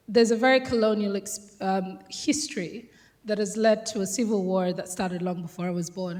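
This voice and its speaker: A woman's voice: medium pitch, darkish tone. A woman from South Sudan who moved to Australia as a child, speaking informally and reflectively as a panelist at a conference, thinking aloud as she goes. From South Sudan, moved to Australia at ten. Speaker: panel speaker, gender and race researcher. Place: conference hall, on a microphone. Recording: echoey hall with long reverb.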